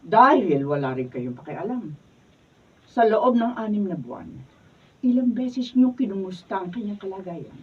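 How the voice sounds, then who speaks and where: An actress playing a family lawyer in a radio drama who scolds greedy heirs. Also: female voice, medium pitch, sing-song, normal speed, husky. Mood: scornful. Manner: scolding, sharp rebukes. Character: blunt family lawyer, scornful woman. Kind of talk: radio drama acting, read from script. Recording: tinny, phone-like, mic from a distance.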